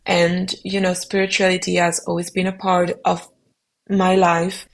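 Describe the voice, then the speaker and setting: Soft-toned reflective French-speaking woman. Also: lowish voice, completely flat delivery, unhurried. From Belgium. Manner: reflective, searching for words with frequent ums. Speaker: musician guest. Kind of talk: podcast interview answers.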